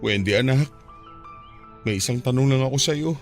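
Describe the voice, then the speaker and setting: A man's voice: medium pitch, moderate pace, faint music behind, close to an everyday voice. An actor playing a heartbroken widowed father in a radio drama, talking tearfully, his voice breaking with grief. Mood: sorrowful. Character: grieving widowed father, middle-aged, heartbroken and tender.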